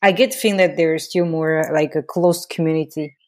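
A woman's voice: low voice, steady tone, fairly quick, a little mellow. A woman interviewing a friend in a casual chat, asking curious questions and agreeing along. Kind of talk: casual conversation.

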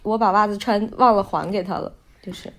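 A woman's voice: mid-range voice, steady tone, brisk, fairly bright tone, a little hiss or hum. A woman, a voice actor appearing as a podcast guest, chatting casually in a playful, joking way. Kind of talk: casual chat.

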